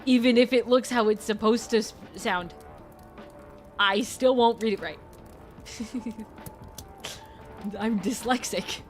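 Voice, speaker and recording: Woman streaming, kinda high voice, talks slow and rambly, laughs a lot, soft music behind. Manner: chatty, rambling, laughs often. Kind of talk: casual chat.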